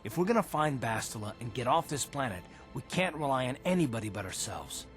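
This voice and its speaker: A man's voice: medium pitch, big pitch swings, brisk, faint music behind, close to an everyday voice. An actor playing a resolute, weary male Republic soldier, speaking earnestly in a dramatic video game style, explaining with some urgency. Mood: earnest, determined.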